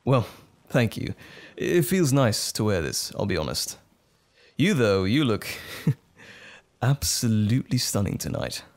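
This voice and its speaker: Man acting as nervous romantic date, lively intonation. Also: lowish voice, a little slow, vocal fry, full character voice. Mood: tender, smitten.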